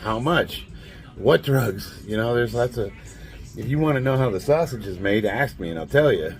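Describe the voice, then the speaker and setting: A man in his late forties with a raspy, nasal, stuffed-up voice, rambling bluntly and laughing often as he talks to camera. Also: medium pitch, animated, moderate pace. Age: 40s. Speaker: fitness vlogger. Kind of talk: casual monologue to camera.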